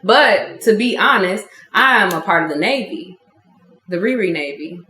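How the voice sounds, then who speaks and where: A woman from the Chicago suburbs, a podcast guest in casual chat, animated and bubbly and quick to laugh. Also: mid-range voice, reverberant, slight background noise. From Chicago South Suburbs.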